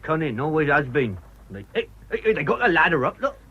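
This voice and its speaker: An actor playing a blunt, sly, needling village local with a husky voice, in a radio drama. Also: male voice, fairly high pitch, big pitch swings, a little fast, sharp tone, heavily acted. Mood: excited, gossipy. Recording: dull lo-fi radio recording.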